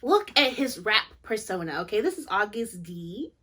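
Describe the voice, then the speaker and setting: A woman's voice: mid-range voice, very animated, normal speed, sharp tone. A woman co-hosting a book vlog, chatting casually about reading plans in a playful, gushing, jokey manner.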